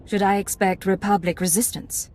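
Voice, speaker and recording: A woman playing a composed undercover Imperial agent in a video game, measured and businesslike. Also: mid-range voice, little pitch movement, a little mellow, a little hiss or hum, natural voice. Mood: cool, businesslike.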